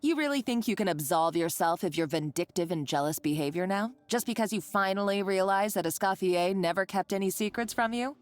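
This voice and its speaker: A woman's voice: medium pitch, a little fast, few pauses, lightly acted. A woman voicing a scheming, spiteful villain in a video game, who talks in a taunting, condescending and bossy way. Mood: scornful. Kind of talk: game voice acting. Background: faint game music.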